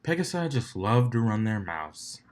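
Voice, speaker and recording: A man with a fairly low voice reads a story aloud. He delivers it as scripted narration, in the role of a fan fiction reader. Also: sing-song, unhurried, darkish tone.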